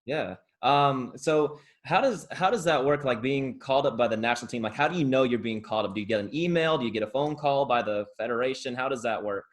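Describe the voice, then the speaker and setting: A man hosts a podcast in an ordinary mid-pitched voice. He asks his questions hesitantly, with many pauses and restarts, over a video call. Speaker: podcast host. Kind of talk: casual podcast interview.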